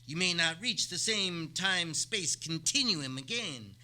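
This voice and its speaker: An actor playing a fussy, flustered scientist in an old-time radio comedy, stammering politely and pleading. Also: male voice, fairly high pitch, expressive, a little slow, bright, forceful tone, full character voice.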